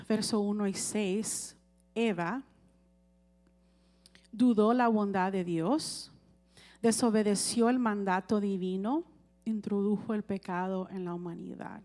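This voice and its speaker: A woman's voice: mid-range voice, dark tone. A woman preaching a sermon in a conversational way, often checking with the congregation and asking for amens.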